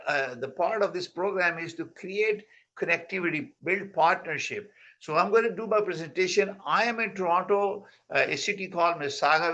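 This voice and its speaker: A man hosting an online real estate conference over a video call, talking in a halting way with repeated words. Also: fairly high pitch, pushed and bright. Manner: halting, repeats words, non-native phrasing. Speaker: host and founder of the conference. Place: Mississauga near Toronto.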